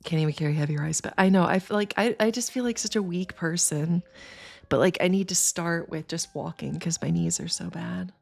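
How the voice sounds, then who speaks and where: A woman's voice: on the low side, a little fast, vocal fry. A tired woman in her thirties, sniffly and congested, chatting casually with her livestream viewers in a rambling way. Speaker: streamer.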